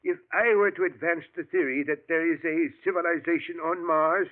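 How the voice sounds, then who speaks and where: Man doing a sweet old professor, kinda high voice, tinny radio sound. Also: fairly even intonation, moderate pace, natural voice. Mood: earnest. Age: older. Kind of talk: 1940s radio acting.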